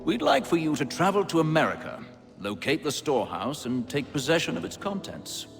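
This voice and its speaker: An actor playing a composed, courteous senior leader of a secret order in a video game, speaking formally and with measure. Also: male voice, fairly high pitch, wildly swooping pitch, brisk, creaky, soft background music, full character voice. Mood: measured, formal. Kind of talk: video game cutscene acting.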